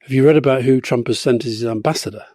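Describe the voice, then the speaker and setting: Animated older man chatting politics. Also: mid-range voice, fast talker, no room sound, clean studio sound. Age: 60s. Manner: animated storyteller with laughs and repeated phrases. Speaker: podcast co-host. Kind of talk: casual political chat.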